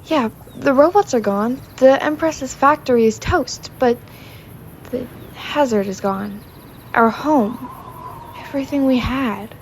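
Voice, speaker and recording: A woman's voice: high-pitched, takes it slowly, slight background noise. A woman playing a tough, blunt ship's mechanic with a sardonic manner in a sci-fi comedy audio drama. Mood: downcast. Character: gruff, sardonic female ship mechanic, tough and capable.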